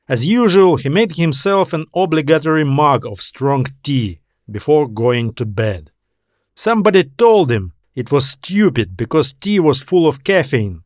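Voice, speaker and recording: A man reading a scripted story as an audiobook narrator, in a measured, deliberate storytelling manner. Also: mid-range voice, dry recording, clean studio sound. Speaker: author narrating own story.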